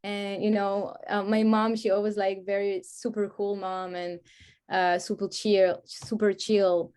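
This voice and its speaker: A woman's voice: medium pitch, level tone, measured pace, darkish tone, smooth. Woman, casual and searching. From France (grew up in Paris), born in Israel. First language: Hebrew. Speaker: guest, music artist. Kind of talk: casual conversational interview.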